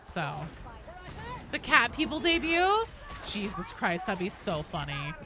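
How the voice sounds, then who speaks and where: A woman's voice: mid-range voice, very animated, slow, over music. A woman livestreaming a game, chatting casually and discursively about it, with frequent swearing. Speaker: game streamer. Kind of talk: casual livestream gaming chat.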